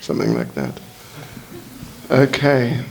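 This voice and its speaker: A man with an English accent, a native English speaker, gives a lecture in slow, halting Russian, often searching for words. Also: mid-range voice, wildly swooping pitch, gravelly, darkish tone, low-quality recording. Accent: English-speaking, speaking Russian as a learner. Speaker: visiting spiritual teacher giving a lecture. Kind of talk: slow lecture with verse chanting. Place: lecture hall.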